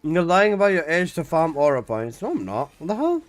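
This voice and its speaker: A young man in his twenties chats on a live game stream, his voice sitting a little high for a man and leaping up and down lively as he reacts to chat. He talks loosely and swears casually, getting loud when he protests. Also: a little fast. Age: 20s.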